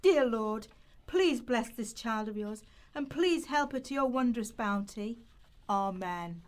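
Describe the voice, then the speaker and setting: A woman's voice: fairly high pitch, lively intonation, takes it slowly. Woman acting a soothing, pious charity volunteer. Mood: devout. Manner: soothing, genteel, devout. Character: kindly, pious female food bank volunteer. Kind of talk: amateur radio play rehearsal.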